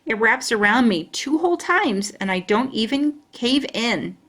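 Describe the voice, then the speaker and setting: A woman reading a children's storybook aloud in an expressive storytelling style. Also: on the high side, a little slow.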